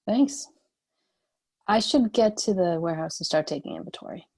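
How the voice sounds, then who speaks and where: A woman playing a guarded but caring leader in a screenplay table read, measured and matter-of-fact. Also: lowish voice, animated, a little mellow. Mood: reassuring.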